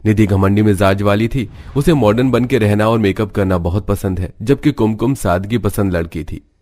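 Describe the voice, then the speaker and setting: A man's voice: on the low side, moderate pace, a little mellow. A man reading scripted storybook narration for a cartoon family tale.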